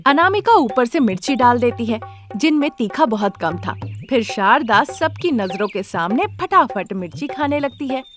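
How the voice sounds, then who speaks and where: A woman's voice: fairly high pitch, big pitch swings, moderate pace, a bit bright. A woman narrating a scripted story as a storyteller. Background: background music.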